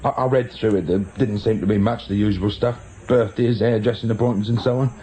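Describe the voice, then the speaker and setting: An actor playing an evasive, nervy small-time crook in a radio drama, with a British accent and a hesitant, defensive manner. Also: male voice, on the low side, fairly even intonation, brisk, mellow, natural voice, low-quality recording. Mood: reluctant, resentful. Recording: clear background noise and ambience.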